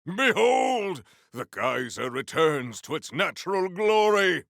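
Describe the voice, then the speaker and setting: An actor playing a solemn, honor-bound warrior-monk in a video game, speaking in a formal, stately, theatrical way. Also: male voice, high-pitched, sing-song, slow, vocal fry, fairly bright tone, dry recording, studio quality, full character voice. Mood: grandiose. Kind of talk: scripted video game dialogue.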